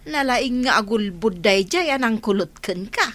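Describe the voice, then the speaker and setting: Woman playing a bright, teasing Ilocano gossip. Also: fairly high pitch, lively intonation, unhurried. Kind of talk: comic radio-drama acting.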